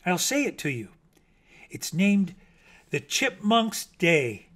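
A man voicing a gentle, hopeful male bat who writes poems, in a soft, raised storybook voice. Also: sits high, very animated, slow pace, voice pushed up, heavily acted. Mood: happy.

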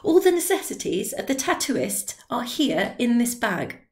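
A woman doing an excitable drunken old man. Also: measured pace. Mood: eager. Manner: eager, pleading, high and loud.

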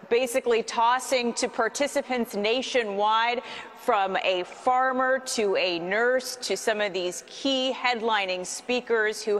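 A woman in her thirties, a TV news correspondent delivering a brisk, even live report outdoors at night. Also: fairly high pitch.

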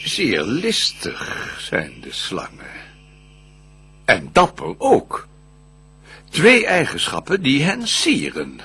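A man playing a scheming, vengeful fallen lord of hell in a classical verse radio play, declaiming in measured theatrical style. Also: a little high, huge pitch swings, sharp tone, slight background noise, exaggerated voice. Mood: sly, brooding.

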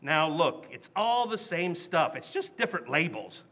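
Man doing a boastful working-class dad, high pitch, lively, muffled old-radio recording. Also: moderate pace. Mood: blustery. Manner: blustering, mangles big words. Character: blustery working-class father, stubborn and boastful. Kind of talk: old-time radio play recreation.

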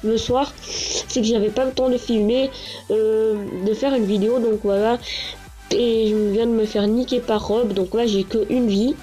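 A woman's voice: fairly high pitch, steady tone, normal speed, warm tone, poor audio. Stuffy-nosed woman rambling over gameplay. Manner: rambling, many fillers and restarts. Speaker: gaming channel host. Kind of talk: casual gameplay commentary. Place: small echoing bedroom, lying down. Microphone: handheld console camera. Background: game music.

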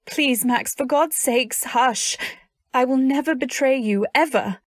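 A woman's voice: sits high, measured pace, no room sound, studio quality. A woman playing a fiercely loyal, protective wife in a radio drama, speaking earnestly and with dramatic emphasis. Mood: pleading. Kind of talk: old-time radio drama acting with first-person narration.

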